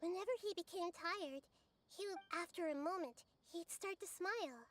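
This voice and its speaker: Very high, childlike wise little girl. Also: normal speed, fairly bright tone, a little hiss or hum. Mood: gentle, thoughtful. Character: gentle, wise, childlike small girl, thoughtful and caring. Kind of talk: video game voice acting.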